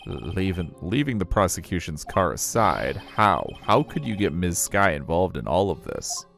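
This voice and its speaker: A man's voice: low-pitched, sing-song, unhurried, gravelly, a bit bright, voice pushed down, exaggerated voice. A man voicing a stern, formal prosecutor in a courtroom game, clipped, solemn and accusing. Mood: indignant. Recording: music behind the voice.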